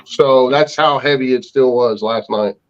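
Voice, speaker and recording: A man's voice: medium pitch, unhurried. A man chatting casually as a paranormal investigator, with a blunt, colloquial way of talking. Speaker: paranormal investigator guest, former law enforcement investigator. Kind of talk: casual chat. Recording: dull, lo-fi online audio.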